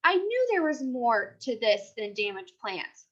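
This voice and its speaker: A woman's voice: a little high, sing-song, measured pace, a bit bright, heavily acted. A woman voicing an earnest, precise schoolboy detective in a storybook, in a serious, matter-of-fact way. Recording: dull, lo-fi sound.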